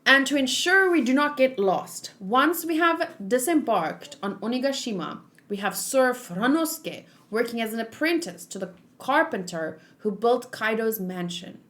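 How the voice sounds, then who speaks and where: Casting: earnest manga samurai man, read by a woman, formal and stately, fairly high-pitched. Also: expressive, measured pace, few pauses, fairly bright tone. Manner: stately, old-fashioned, formal phrasing.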